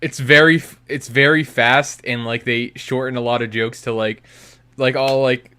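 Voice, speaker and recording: A man's voice: medium pitch, fairly even intonation, moderate pace, pushed and bright, clean tone. Rambling young man, podcast co-host. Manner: rambling, many "like" fillers, joking. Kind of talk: casual chat.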